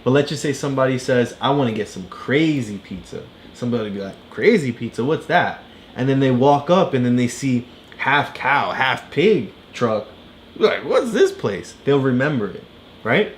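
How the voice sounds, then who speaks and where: A man speaks casually to a child, patiently explaining and checking understanding with questions. His pitch moves around in a lively way, with faint noise in the background. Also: moderate pace. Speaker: father teaching a child.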